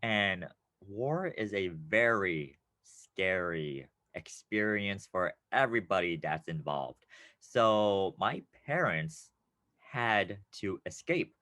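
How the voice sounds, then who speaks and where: A man's voice: low voice, takes it slowly, no echo. Upbeat man teaching a class lesson. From United States. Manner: upbeat, playful, with bursts of excitement. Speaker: teacher presenting a class video.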